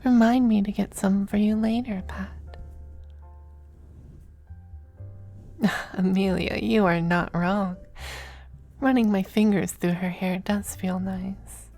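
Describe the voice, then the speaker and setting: A woman playing a possessive, doting, androgynous male demon lover in an intimate ASMR roleplay, speaking softly and often slipping into whispers. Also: mid-range voice, fairly even intonation, lightly acted. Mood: teasing, tender. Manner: soft, breathy, unhurried, often dropping to whispers. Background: faint music.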